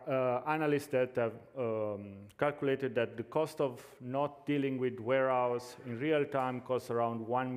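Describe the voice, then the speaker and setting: A man's voice: mid-range voice, big-room sound. A man with an Italian accent giving a tech conference talk, hesitant, with many fillers and restarts. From Italy. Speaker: conference speaker. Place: large conference hall.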